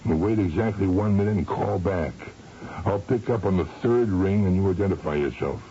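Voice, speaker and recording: A man's voice: sits low, normal speed, dark tone, close to an everyday voice. An actor playing a cocky, cold-blooded hired gunman with smug, slangy tough talk in a 1970s radio drama. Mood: cool, assured. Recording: dull, hissy old radio recording.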